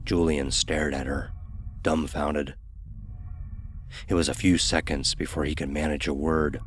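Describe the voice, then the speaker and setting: A man narrating a scripted horror story in a measured, dramatic, ominous manner. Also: very deep voice, flat delivery, faint music behind.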